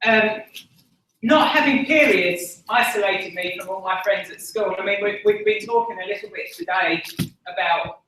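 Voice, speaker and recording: A woman's voice: medium pitch, moderate pace, fairly bright tone. A woman with an Essex accent giving a candid personal testimony at a conference podium, earnest and self-deprecating, with frequent ums. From Essex, England. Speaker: conference speaker. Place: large conference room. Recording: echoey hall from far off.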